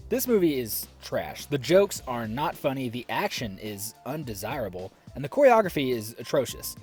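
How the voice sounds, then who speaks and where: A man's voice: fairly high pitch, a little mellow. Male reviewer, scripted commentary, dry sarcastic asides, bouncy intonation, faint music bed. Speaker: film reviewer.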